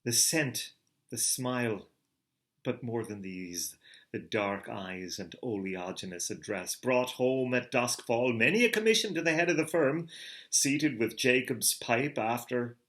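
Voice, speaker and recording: Man, reader, theatrical, expressive, mid-pitched voice. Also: measured pace. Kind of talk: dramatic literary reading.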